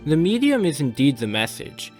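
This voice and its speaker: Guy doing video essay voiceover, formal n measured, music under it. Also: a little high, huge pitch swings, darkish tone. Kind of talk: scripted narration.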